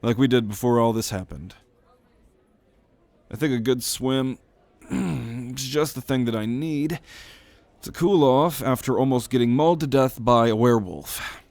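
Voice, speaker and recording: A man acts out a laid-back young guy in an audio roleplay. He talks slowly and casually, teasing his friends with crude sarcasm, in a close, dry recording. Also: medium pitch, a little mellow, no echo, close to an everyday voice. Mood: relaxed. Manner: casual, teasing, swears freely. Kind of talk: ASMR roleplay audio drama.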